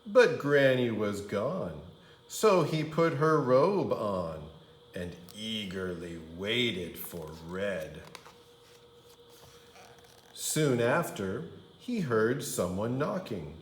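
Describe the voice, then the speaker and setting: Male kindergarten storyteller, expressive and very unhurried, roomy sound. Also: on the low side. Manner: expressive, dramatic storytelling for young children. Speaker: kindergarten teacher reading aloud. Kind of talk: animated storybook read-aloud.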